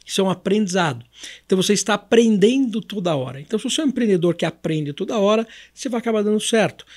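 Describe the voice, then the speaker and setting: A man's voice: sits high, expressive, very fast, darkish tone. A Brazilian man as a podcast guest, explaining conversationally in a didactic way with repetition and self-answered questions. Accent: Brazilian Portuguese.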